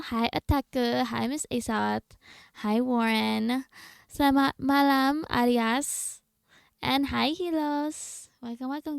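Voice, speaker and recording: A woman's voice: fairly high pitch, gravelly, clean tone, no echo, studio quality. A woman livestreamer chatting casually with her viewers in a playful, slangy, bantering manner. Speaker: video game livestreamer.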